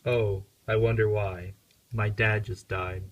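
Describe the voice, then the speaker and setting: A man's voice: fairly low pitch, flat delivery, slow pace, lo-fi sound. A man playing a grieving, bitter young prince in an amateur puppet show. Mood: sullen.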